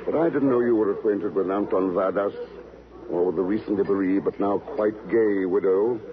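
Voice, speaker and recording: A man's voice: on the low side, moderate pace, lightly acted. A man playing a cool, suspicious middle-aged secret agent in 1950s radio drama, speaking in clipped, probing lines. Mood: dry, probing. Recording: dull, noisy old radio broadcast.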